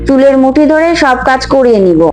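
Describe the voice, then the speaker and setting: Elder daughter-in-law, high voice, assertive, complaining, crafty, cartoon dub, soft music behind. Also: female voice, animated, a little fast. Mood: nagging. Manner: nagging, assertive. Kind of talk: fairy-tale cartoon dub. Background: background music.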